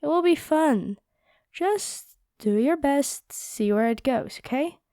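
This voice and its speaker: A woman playing a kind, encouraging vampire fighter in a roleplay audio drama, speaking gently and earnestly. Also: high voice, lively intonation, slow pace, no echo, clean studio sound, exaggerated voice. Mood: gentle, playful.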